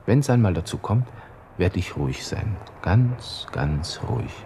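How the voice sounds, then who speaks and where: An actor playing a proud young army lieutenant in despair, with a Viennese accent, talking agitatedly to himself in a radio drama monologue. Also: male voice, deep voice, animated, unhurried, dark tone, slight background noise. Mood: agitated, resigned. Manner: agitated, self-talking, shifting between muttering and outbursts.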